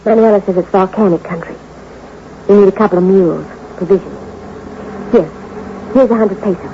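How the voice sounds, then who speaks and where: A woman's voice: mid-range voice, a little fast, lightly acted. A woman playing a scheming femme fatale. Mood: coaxing. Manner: coaxing, persuasive. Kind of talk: 1950s radio drama acting. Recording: dull, hissy old radio recording.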